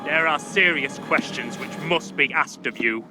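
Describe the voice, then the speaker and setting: An actor playing an authoritative seventeenth-century judge in a video game, speaking formally and with measured, declamatory weight. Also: male voice, on the high side, over music, natural voice. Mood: grave, indignant. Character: solemn, authoritative 17th-century witch-trial judge. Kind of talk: dramatic video game acting.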